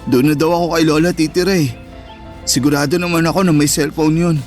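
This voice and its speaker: Male actor as high-pitched Filipino teen brother, protective and easily wounded, heartfelt radio drama over soft music. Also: steady tone, brisk, lightly acted. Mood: tender, reassuring. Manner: earnest, emotional delivery. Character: hurt, affectionate teenage boy, protective older brother. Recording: music behind the voice.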